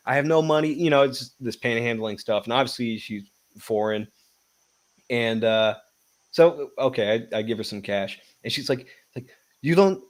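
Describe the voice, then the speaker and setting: A man co-hosting a casual chat, telling rambling stories with profanity and stumbles. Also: medium pitch. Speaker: co-host.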